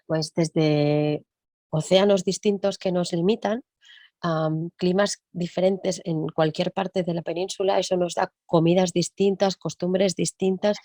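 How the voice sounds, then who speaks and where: Low, mellow-voiced woman musing aloud. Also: dry recording, clean studio sound. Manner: reflective, thinks aloud with frequent tag questions. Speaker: music teacher and percussionist guest. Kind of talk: conversational interview.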